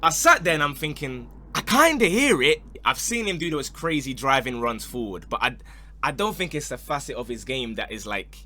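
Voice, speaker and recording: A man's voice: medium pitch, big pitch swings, normal speed, sharp tone. A man hosting a casual football panel, slangy and teasing in his delivery. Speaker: podcast host.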